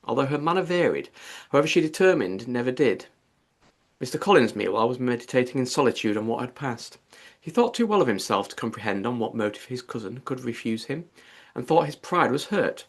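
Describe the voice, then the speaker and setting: A man's voice: medium pitch, big pitch swings, brisk. A man reading a classic novel aloud as an audiobook narrator, in scripted literary narration.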